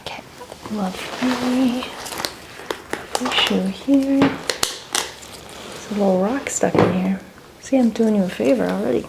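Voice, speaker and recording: A soft, breathy woman, often whispering, doing a playful, coaxing ASMR roleplay as a security officer. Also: on the high side, measured pace, long pauses, heavy background noise. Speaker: ASMR performer role-playing a security officer.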